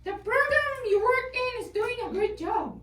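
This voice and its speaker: Someone doing a tiny squeaky puppet host, very polite, scripted questions, roomy echo. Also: expressive, measured pace, bright, forceful tone, exaggerated voice. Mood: upbeat, courteous. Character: bright, courteous puppet talk show host. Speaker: co-host and interviewer. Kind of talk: scripted puppet show interview. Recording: echoey room, far from mic.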